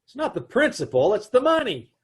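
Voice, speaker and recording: A man playing a wisecracking advertising man who also narrates, in an old-time radio comedy, deadpan and dry, over a video call. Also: very high-pitched, brisk, husky, full character voice.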